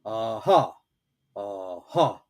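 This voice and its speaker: A woman voicing a humble, slow-witted, good-natured male bear with a mild, ponderous way of talking in a storybook reading. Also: very deep voice, sing-song, full character voice. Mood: pondering.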